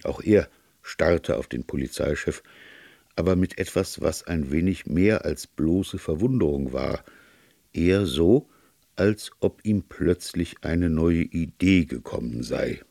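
A man's voice: low-pitched, lively intonation, takes it slowly, creaky, mellow, no echo, studio quality. A man reading a literary detective story as narrator in a scripted audiobook style.

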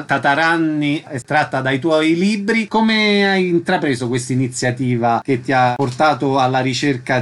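A man's voice: a little high, fairly bright tone. A man, working as a radio interviewer and putting questions to a guest.

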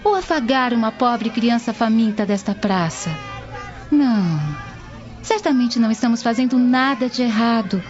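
A woman's voice: a little high, moderate pace, a little mellow, faint music behind, lightly acted. An actress with a Brazilian accent playing a devout, fearless teenage Roman noble girl, formal and earnest, in a radio drama. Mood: earnest, reassuring. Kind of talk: dubbed radio drama acting. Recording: dull lo-fi audio.

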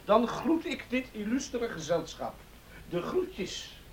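An insolent young student in a radio play. The voice is high and swings widely in pitch, with mockingly formal courtesy. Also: male voice, very animated, takes it slowly, noisy background, heavily acted. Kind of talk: Dutch radio play acting.

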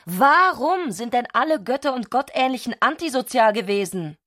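A woman's voice: fairly high pitch, expressive, normal speed, fairly bright tone, dry recording, studio quality. A woman playing an agitated, scornful wife who goads her painter husband insistently in a radio drama. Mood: agitated, provoking. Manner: reproachful, insistent.

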